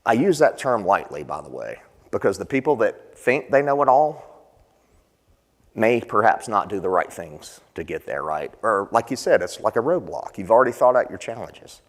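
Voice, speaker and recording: Man, conference presenter, low-ish voice, questions audience, long hall reverb. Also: big pitch swings, moderate pace. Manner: conversational, quizzes the audience with questions. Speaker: session presenter. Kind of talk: conference panel talk. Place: conference session room.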